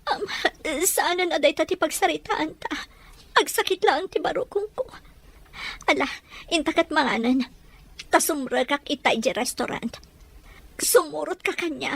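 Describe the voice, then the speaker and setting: A woman playing a worried, heartbroken mother in an audio drama, speaking softly. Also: high voice, normal speed, creaky, pauses often. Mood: sorrowful. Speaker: mother figure. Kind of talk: Ilocano audio drama acting.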